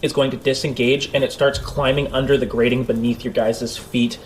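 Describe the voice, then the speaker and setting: A man's voice: medium pitch, flat delivery, brisk. Man narrating a tabletop game, noisy recording. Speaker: game master. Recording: clear background noise.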